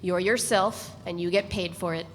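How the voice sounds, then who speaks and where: A woman reading the narration of a novel aloud in a measured, reflective way at a live dramatic reading. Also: on the low side, fairly even intonation. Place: large echoey event space. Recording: long hall reverb, faint room noise.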